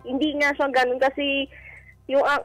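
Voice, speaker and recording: High-voiced Filipino woman calling in. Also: flat delivery, normal speed, a bit bright, soft background music, rough recording. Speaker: caller. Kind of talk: casual chat, explaining a personal problem. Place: call-in to a radio show.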